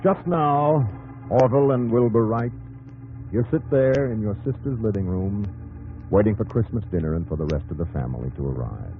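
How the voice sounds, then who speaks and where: An actor narrating a 1950s radio drama, solemn and measured, addressing the hero intimately in terse dramatic phrases. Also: male voice, lowish voice, lively intonation. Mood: solemn, reflective. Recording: tinny 1950s radio broadcast, music behind.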